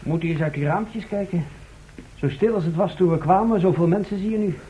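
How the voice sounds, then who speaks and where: An actor playing a sober, observant and wary gentleman in a radio drama, speaking in a measured, matter-of-fact way. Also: sits high, slightly rough. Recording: dull, faintly noisy old radio recording.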